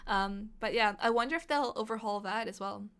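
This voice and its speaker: A young woman, a native Russian speaker, chatting excitedly on a live stream and reading out chat questions. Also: mid-range voice, brisk. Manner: chatty, excitable, thinks aloud with fillers.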